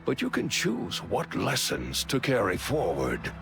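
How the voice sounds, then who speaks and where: An actor playing a noble, solemn holy knight in a fantasy game, earnest and formal, with measured delivery. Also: male voice, medium pitch, wildly swooping pitch, vocal fry, exaggerated voice. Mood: gentle counsel. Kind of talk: fantasy game cutscene acting. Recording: music behind the voice. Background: game score music.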